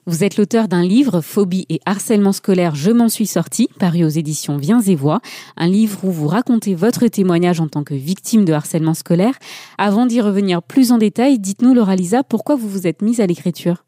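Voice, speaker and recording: A woman's voice: medium pitch, fairly quick, warm tone, no echo, clean studio sound. A woman hosting a radio show, presenting and interviewing from a script in a formal, articulate way.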